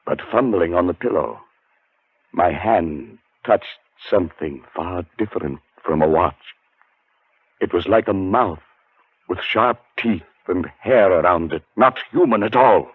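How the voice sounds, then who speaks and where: An actor playing a frightened scholar in a 1940s radio suspense drama, narrating his terror in a dramatic, deliberate way that grows halting with nerves. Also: sits low, slightly rough, no echo. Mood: haunted, dread. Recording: muffled 1940s radio broadcast with music.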